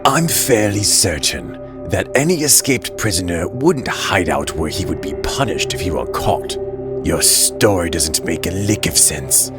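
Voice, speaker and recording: A man's voice: low voice, expressive, unhurried. A man playing a pompous, portly guard lieutenant in an audio drama, speaking with mocking suspicion and indignation. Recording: loud music under the voice. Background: loud dramatic music.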